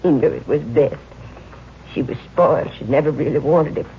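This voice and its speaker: A woman's voice: low-pitched, normal speed. An actress playing a bitter, grieving older mother with an American accent in a 1950s radio drama. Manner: emotional, wounded, bitter. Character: grieving, bitter elderly mother of a murdered son. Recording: muffled old radio recording, faint noise.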